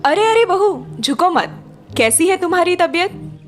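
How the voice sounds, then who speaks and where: A woman voicing a shrewd, watchful cartoon mother-in-law with an animated, knowing manner. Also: very high-pitched, unhurried, faint music behind, full character voice. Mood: caring. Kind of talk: Hindi cartoon story voice acting.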